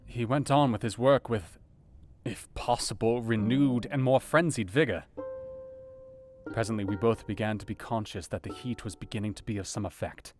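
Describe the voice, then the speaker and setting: An actor playing an earnest doctor, narrating a dramatised audiobook in a measured, formal and dramatic way. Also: male voice, lowish voice, steady tone, over music, lightly acted. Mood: grave, measured. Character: earnest male doctor recounting a grim night.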